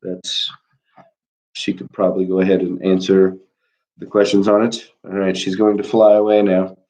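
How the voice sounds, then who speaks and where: A man's voice: low-pitched, little pitch movement, moderate pace, warm tone. A man with a stuffy nose, a teacher chatting casually from home on a live class stream, rambling with plenty of fillers. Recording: dull lo-fi recording.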